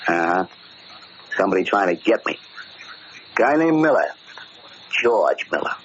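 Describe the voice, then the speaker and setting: An actor playing a cocky, tough-talking gangster in a slangy 1940s radio drama style. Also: male voice, sits low, sing-song, measured pace. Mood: cocky, easygoing. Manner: slangy, brash. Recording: muffled, hissy 1940s radio recording.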